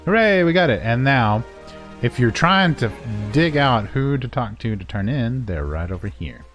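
A man's voice: mid-range voice, expressive, moderate pace, a bit bright, faint music behind. A man giving a casual, unscripted game walkthrough in a playful, self-deprecating way. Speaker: gaming video creator and guide narrator.

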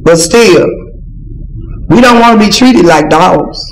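A woman's voice: fairly low pitch, lively intonation, slow pace. A woman who drives buses, speaking plainly and earnestly during public comment at a board meeting. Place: large council chamber. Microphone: podium microphone, heard from a far camera. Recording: echoey chamber, background noise.

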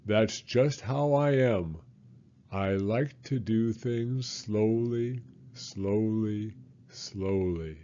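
A man's voice: fairly low pitch, warm tone, lo-fi sound. An older man voicing a placid, languid storybook sloth who draws his words out one by one. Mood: sleepy, content. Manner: drawn-out, word by word, unruffled.

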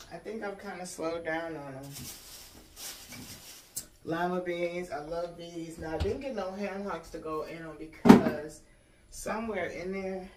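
An American woman vlogger chatting casually to viewers in a folksy, colloquial way as she unpacks. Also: on the low side. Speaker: vlogger showing a grocery haul. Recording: echoey room, distant mic, clear background noise.

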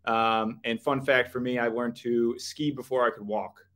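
A man with a Chicago accent introducing himself as a guest on a video-call webinar, friendly and relaxed. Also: medium pitch, normal speed. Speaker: company vice president, webinar guest.

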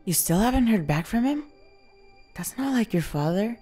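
A woman's voice: mid-range voice, lively intonation, moderate pace, mellow, slightly rough, soft background music. Woman playing an easygoing college roommate. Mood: concerned. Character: supportive, easygoing college roommate and childhood best friend. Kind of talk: amateur audio drama acting.

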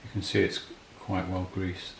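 Middle-aged man, very low voice, understated casual repair commentary, roomy sound, clattering handling noise. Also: monotone, moderate pace, vocal fry. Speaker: repair hobbyist demonstrating a restoration. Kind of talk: casual hands-on tutorial commentary, thinking aloud while working. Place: indoors at a repair workbench.

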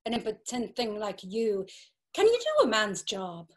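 An actress playing a domineering brothel madam, commanding and mocking, in a dramatic reading over a video call. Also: female voice, medium pitch, wildly swooping pitch, unhurried. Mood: taunting. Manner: commanding, taunting. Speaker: stage actor.

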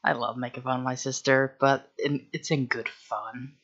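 A man commenting casually on a video he is reacting to, giving relaxed advice. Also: medium pitch, moderate pace, lo-fi sound. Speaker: reaction channel host.

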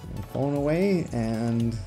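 A relaxed Canadian man narrating a sightseeing ride from a golf cart outdoors. His mid-pitched voice stays easygoing and chatty, with loud music playing behind him. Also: a little slow. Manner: relaxed, chatty, upbeat, loose with fillers. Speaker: vlogger giving a tour. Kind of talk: casual narrated driving tour.

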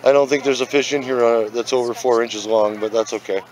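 A man's voice: mid-range voice, steady tone, fairly quick, poor audio. Folksy man vlogging outdoors. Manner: folksy, jokey, addresses viewers as guys. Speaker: fishing vlog host. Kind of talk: casual chat to camera while fishing. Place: outdoors on a wooden lake dock. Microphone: handheld camera mic. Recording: clear outdoor noise.

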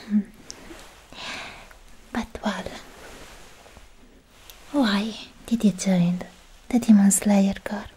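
A woman playing a shy, lovestruck young demon slayer in a whispered, halting ASMR roleplay, sweet and romantic, close on the microphone. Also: mid-range voice, exaggerated voice, poor audio. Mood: admiring. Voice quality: soft, breathy whisper. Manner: hesitant, bashful, halting with many pauses.

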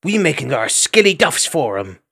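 A pint-sized squirrel boy who bosses everyone about in broken childish speech, as a man plays him. The voice sits very high and squeaky, lively and bouncing in this storybook reading. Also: moderate pace, vocal fry, husky, heavily acted. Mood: bossy. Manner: broken childish grammar, bossy orders. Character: bossy little male squirrel child cook.

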